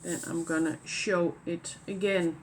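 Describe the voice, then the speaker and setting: A woman from the Netherlands with a Dutch accent, hosting a knitting podcast in casual show-and-tell chat and pausing often with fillers and restarts. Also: on the low side, steady tone, a little hiss or hum. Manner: hesitant, many fillers and restarts.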